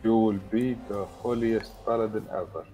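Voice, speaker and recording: A sleepy man answers a friend in quiet, brief, drowsy replies. His voice sits low and warm, with faint music underneath. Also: fairly even intonation, normal speed, rough recording. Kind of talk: casual chat.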